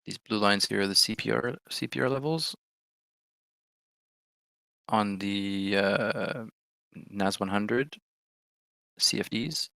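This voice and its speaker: A lower-pitched man with a gravelly, bright, forceful voice, talking through market moves live on stream. He is chatty and excitable and thinks out loud. The sound is dry and close. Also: slow pace, pauses often, dry recording. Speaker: trading stream host. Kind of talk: live trading commentary.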